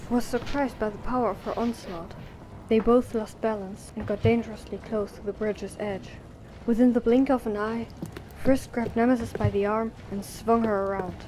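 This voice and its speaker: A woman's voice: on the high side, background noise. A woman reading scripted narration for an audio drama.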